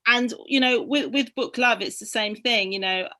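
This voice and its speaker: A woman's voice: on the high side, moderate pace, bright, forceful tone. A middle-aged woman from Barbados, a guest in a conversational discussion, speaking earnestly and passionately while thinking aloud. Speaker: guest, book activist.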